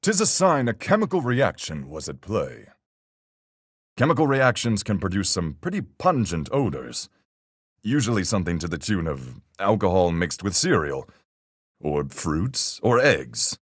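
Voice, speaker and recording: A gruff, hearty sea captain from a mystery video game, played by a man, speaks in a very low register with wide swings in pitch. He is level-headed and folksy, peppering his measured deductions with seafaring slang. The voice is clean and smooth. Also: big pitch swings, no room sound, clean studio sound, heavily acted. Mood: analytical, measured. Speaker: voice actor in a fan-made mystery game. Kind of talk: video game character voice lines.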